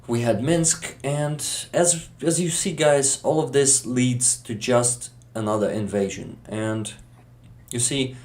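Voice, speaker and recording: Man, Ukrainian accent, native Ukrainian, very slow, halting, many fillers, casual interview. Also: medium pitch, a little mellow. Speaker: guest.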